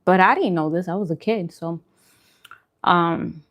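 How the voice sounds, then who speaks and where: A woman's voice: fairly low pitch, unhurried. Casual woman chatting over food. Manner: informal, musing, conversational. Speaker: vegan food vlogger. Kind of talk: casual mukbang chat while eating.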